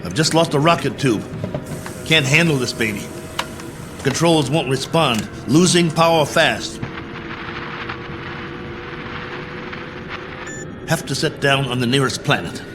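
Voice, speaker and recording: An actor playing a lonely, bored astronaut colonel in a radio drama, speaking in a wry, musing, self-mocking manner. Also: male voice, mid-range voice, normal speed, music in the background, natural voice. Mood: urgent, alarmed. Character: lonely, bored male astronaut colonel, lone probe pilot. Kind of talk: radio drama acting, spoken log entries.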